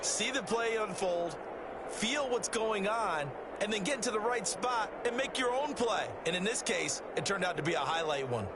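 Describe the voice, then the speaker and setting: A man's voice: sits high, lively intonation, normal speed, heavy background noise. A man with an American accent playing an emphatic, opinionated colour commentator who explains football plays. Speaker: colour commentator, game analyst. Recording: loud crowd and music behind. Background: stadium crowd and music.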